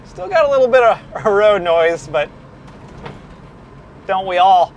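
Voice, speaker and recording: A man's voice: high-pitched, very animated, a little slow, lo-fi sound, a little hiss or hum. A man in his thirties vlogging about an old car from behind the wheel, with dry, self-deprecating humor. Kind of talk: casual vlog commentary. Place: inside a moving car.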